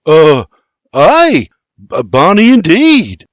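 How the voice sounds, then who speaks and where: A man's voice: high-pitched, huge pitch swings, a little slow, no echo, studio quality, pitched above the performer's own voice, exaggerated voice. A man voicing a shy, humble young Irish farmhand with an Irish accent who speaks earnestly and stammers.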